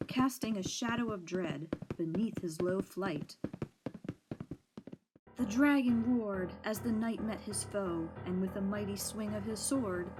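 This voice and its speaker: A woman narrating a children's storybook aloud in an expressive read-aloud style. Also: mid-range voice, low-quality recording. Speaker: storybook reader. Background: music.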